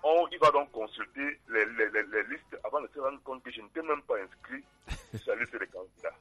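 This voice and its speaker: A man's voice: medium pitch, fairly quick, breathy edge. A man in his forties calling into a radio show, telling a story with laughter. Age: 40s. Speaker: caller. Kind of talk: phone-in storytelling. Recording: tinny phone line.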